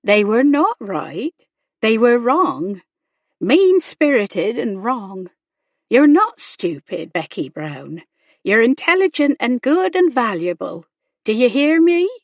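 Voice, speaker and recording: A protective, motherly housekeeper, played by a woman narrator in a storybook reading. Her words come slowly and with firm emphasis, reassuring and deliberate, in a close, dry sound. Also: on the low side, huge pitch swings, husky, no echo, lo-fi sound, heavily acted. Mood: firm, indignant. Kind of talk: audiobook character dialogue.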